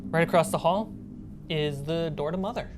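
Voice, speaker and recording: A woman's voice: low voice, normal speed, background noise. A woman running a tabletop game as game master, describing scenes in a casual, improvised way.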